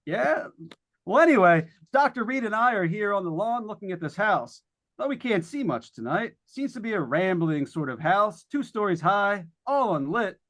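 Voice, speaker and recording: A man's voice: high voice, very animated, normal speed, a bit bright. An actor playing a breezy, joking male radio host on a ghost hunt, chatty and folksy in old-time radio drama style. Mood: showy, upbeat. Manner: chatty, folksy showman delivery. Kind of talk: 1940s live radio drama acting.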